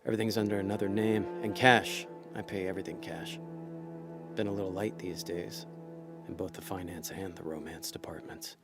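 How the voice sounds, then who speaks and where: A man's voice: sits low, steady tone, moderate pace, gravelly, over music. An actor playing a laid-back, cocky small-time con man in a noir audio drama, wry and slangy. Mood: wry, musing.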